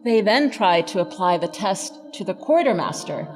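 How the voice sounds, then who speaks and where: A woman giving a scripted lecture narration in a measured, articulate, emphatic manner. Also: mid-range voice, lively intonation, darkish tone, long reverb tail, rough recording.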